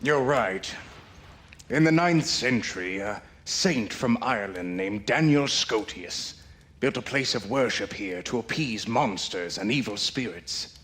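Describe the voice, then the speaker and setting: An actor playing a guarded older caretaker and former sailor in a game cutscene, speaking in a measured way. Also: male voice, on the low side, expressive, a bit bright. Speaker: game character.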